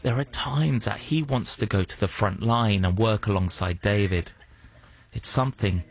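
A man, interviewing and narrating in a measured, gently probing way. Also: lowish voice, creaky, breathy edge. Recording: dry studio voice-over with soft music.

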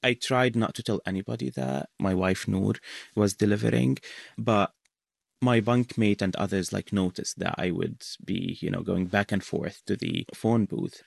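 A young man from Syria answering questions in a radio interview, talking in a halting way with many fillers. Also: on the low side, vocal fry, breathy edge, dry recording, clean studio sound. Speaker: interview guest, author.

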